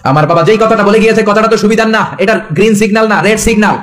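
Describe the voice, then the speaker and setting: Man as excitable young husband, raised pitch, rapid urgent exclamations, sermon storytelling. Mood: furious. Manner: urgent exclamations and repeated questions. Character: young hunter husband, excitable, loud and demanding. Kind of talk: acted dialogue within a sermon.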